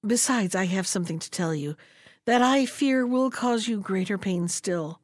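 A woman voicing a gentle, anxious duck who talks in an earnest, sorrowful way in a storybook reading. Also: medium pitch, expressive, moderate pace, no echo, clean studio sound, lightly acted.

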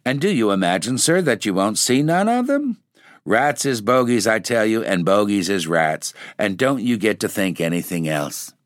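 A man's voice: big pitch swings, moderate pace, no room sound, clean studio sound. A man reading aloud, voicing a superstitious old charwoman with a blunt, emphatic country manner. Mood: insistent. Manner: emphatic, dialect-heavy, rising pitch.

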